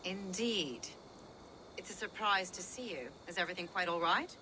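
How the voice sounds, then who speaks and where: A woman's voice: fairly low pitch, moderate pace, pushed and bright, slight background noise, rough recording. A woman playing a composed, cautious village healer and mother in a video game, guarded and formal in her phrasing. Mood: composed, concerned.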